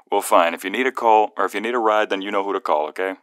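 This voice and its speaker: A man's voice: on the low side, fairly bright tone, clear, resonant tone, no room sound, studio quality, natural voice. A man playing a playful, protective young male friend who is secretly fond of the listener, in a one-sided ASMR roleplay phone call with teasing, earnest banter.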